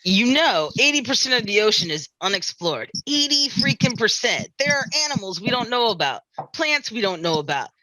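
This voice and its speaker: A woman's voice: fairly high pitch, sing-song, moderate pace, hardly any pauses, bright, forceful tone, dry recording, low-quality recording. A woman playing a fretful beach-town sheriff at a script read, ranting with exasperated emphasis. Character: fretful, griping small-town beach sheriff afraid of water. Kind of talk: musical script table read.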